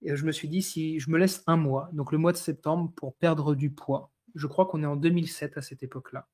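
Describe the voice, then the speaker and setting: A man in his thirties from France, a guest sharing his life story in a live online talk, speaking with many pauses and restarts. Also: fairly high pitch, steady tone, a little mellow. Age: 30s. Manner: hesitant, many fillers and restarts.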